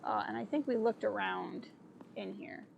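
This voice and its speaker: A woman streaming a video game, chatty and a little sniffly, thinking out loud with fillers as she plays. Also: medium pitch, normal speed, gravelly, muffled recording, a little hiss or hum. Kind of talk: casual gameplay commentary. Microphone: desk mic with webcam.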